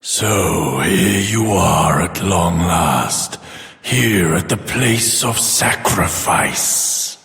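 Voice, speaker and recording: An actor playing a grandiose demon overlord in a video game, pompous and menacing, with a gravelly voice and theatrical proclamations. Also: male voice, deep voice, expressive, extremely slow, fairly bright tone, heavily acted. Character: grandiose demon overlord villain, bringer of oblivion. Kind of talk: video game villain voice acting. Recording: cavernous hall reverb, music bed.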